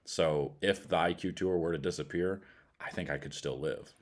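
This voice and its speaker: Very deep-voiced American man chatting. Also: normal speed. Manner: blunt, colloquial. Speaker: bowling ball reviewer. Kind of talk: casual product review chat.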